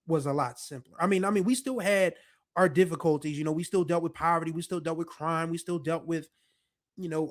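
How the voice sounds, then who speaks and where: A man in his forties hosting a podcast, talking in a relaxed, rambling way full of fillers. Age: 40s. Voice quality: deeper voice. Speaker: podcast host. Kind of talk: casual nostalgic monologue.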